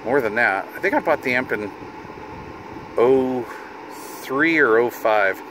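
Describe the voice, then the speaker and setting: A man's voice: medium pitch, expressive, measured pace. A middle-aged man giving a casual hands-on demonstration as a hobbyist video host, informal and half talking to himself. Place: inside a parked vehicle. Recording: noticeable car and fan noise.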